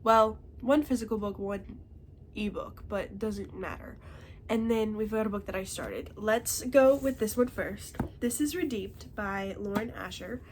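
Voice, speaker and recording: A woman's voice: mid-range voice, a little slow, a little hiss or hum. Congested young woman chatting about books. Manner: chatty, tags remarks with "okay?". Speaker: book reviewer. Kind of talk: casual chat.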